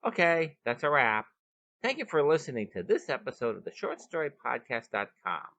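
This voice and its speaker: A man's voice: medium pitch, very animated, normal speed, fairly bright tone, no room sound. A man hosting and narrating a scripted short story podcast with dramatic, emphatic delivery, recorded outdoors on a veranda. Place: veranda of a bed and breakfast, outdoors.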